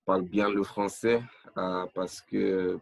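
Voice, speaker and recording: A man's voice: low-pitched, little pitch movement, slow, vocal fry, no room sound. A young man from Nigeria, a podcast guest, talking hesitantly with many restarts and fillers. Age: young adult. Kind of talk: casual interview chat.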